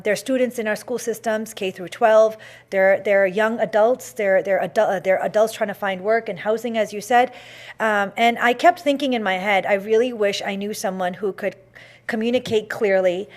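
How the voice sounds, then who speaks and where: A woman hosting a talk show, telling a story in a chatty way with many fillers and restarts. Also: mid-range voice.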